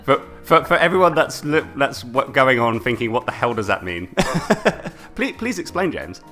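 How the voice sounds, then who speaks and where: A young British man co-hosting a casual live gaming stream, chatty and quick to laugh, often repeating words. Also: medium pitch, big pitch swings, a bit bright, faint music behind. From United Kingdom. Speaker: co-host.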